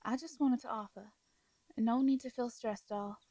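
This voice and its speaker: A woman playing a caring, protective young female farmer in a roleplay audio drama, with a Southern US accent and a soft, hesitant, folksy way of talking. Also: fairly high pitch, moderate pace, dry recording. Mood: friendly, reassuring.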